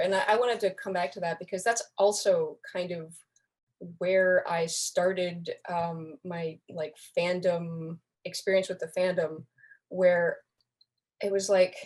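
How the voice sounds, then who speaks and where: A young woman with a voice a little on the low side talks as a guest on a podcast. She explains things informally, in the manner of a relaxed group chat. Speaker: podcast guest, online community moderator.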